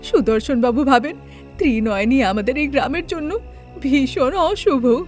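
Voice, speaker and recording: A woman's voice: high voice, a little slow, darkish tone, soft background music. A woman voicing an anxious, pious male village priest who gives urgent, earnest warnings in a cartoon.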